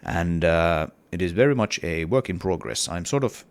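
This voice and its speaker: A man's voice: sits low, animated, normal speed, creaky, clean tone, no echo. A man with a cold and a partly lost voice, talking casually through his game level in a hesitant devlog with many fillers. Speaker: indie game developer.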